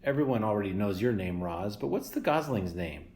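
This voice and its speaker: A man's voice: lowish voice, animated, fairly quick, darkish tone. A man reading aloud and voicing a blunt, bossy old female goose who squawks and scolds. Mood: scolding. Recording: roomy echo.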